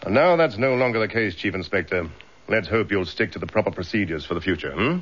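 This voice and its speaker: Casting: suspicious, rule-bound British superintendent, low voice, curt radio drama style, slightly dull recording. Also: male voice, expressive, quick pace, a bit bright, slightly rough. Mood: stern, disapproving. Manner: stern, sardonic, curt. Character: gruff, suspicious, by-the-book police superintendent, older superior.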